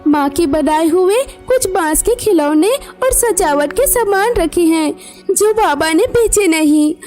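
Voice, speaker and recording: A woman's voice: extremely high pitch, a little mellow. A woman voicing a protective, reassuring young elder sister bird in a cartoon story. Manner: reassuring, earnest. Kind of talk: Hindi cartoon moral story.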